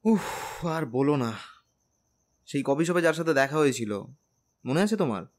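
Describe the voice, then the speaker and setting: A man's voice: on the high side, expressive, unhurried. A man playing a tender, teasing young bridegroom in a romantic audio drama, speaking earnestly and persuasively. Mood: playful complaining. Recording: music bed under the voice.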